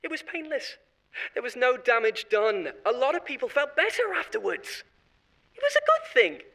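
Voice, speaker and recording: A man's voice: very high-pitched, very animated, moderate pace, bright, forceful tone, heavily acted. An actor playing a boastful, self-satisfied former corporate consultant who chats on and laughs at his own jokes. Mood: smug, chatty. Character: smug, boastful male corporate insider. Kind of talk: audio drama monologue.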